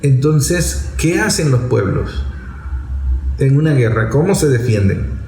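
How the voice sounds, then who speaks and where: A man's voice: mid-range voice, animated, slow, warm tone. A man with a Venezuelan accent giving an impassioned political monologue, emphatic and rhetorical, repeating phrases to drive points home. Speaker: political commentator. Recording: roomy echo, faint background noise.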